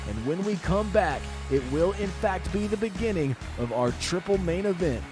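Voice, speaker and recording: A man's voice: high voice, lively intonation, moderate pace, music in the background. A man doing dramatic, emphatic play-by-play commentary on a wrestling show. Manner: dramatic, emphatic announcer delivery. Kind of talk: live sports commentary.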